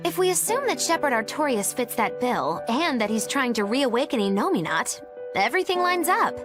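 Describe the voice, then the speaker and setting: A precise, formal woman adventurer, speaks with lively swings in a fairly high voice. It is fantasy game dialogue with music underneath. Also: normal speed. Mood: reasoning. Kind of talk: video game cutscene voice acting.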